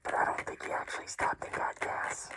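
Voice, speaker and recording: A woman whispering a personal story in a hushed, tearful, casual way, swearing freely. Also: fairly quick.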